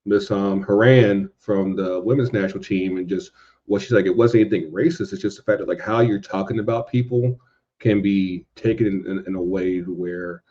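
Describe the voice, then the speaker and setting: A man's voice: low-pitched, steady tone, normal speed, warm tone, muffled recording. A man co-hosting a podcast, talking casually and informally with rhetorical asides.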